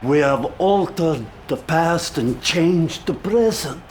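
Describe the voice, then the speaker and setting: High-pitched, fretful Soviet scientist. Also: male voice, animated, slow pace. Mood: dismayed. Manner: anxious, pleading. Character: nervous, fretful male Soviet scientist, inventor. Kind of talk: dramatic video game cutscene acting. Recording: music behind the voice.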